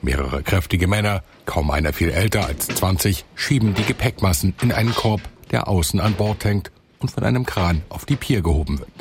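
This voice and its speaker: A man reading scripted documentary narration in a calm, measured voice-over. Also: deep voice, noisy background.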